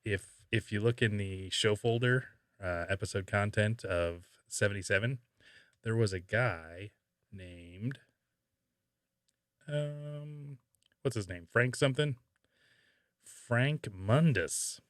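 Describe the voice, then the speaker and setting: A man hosting a podcast, chatting casually and haltingly as he thinks aloud. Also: lowish voice, no room sound, studio quality. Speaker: podcast host. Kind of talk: casual conversational podcast talk.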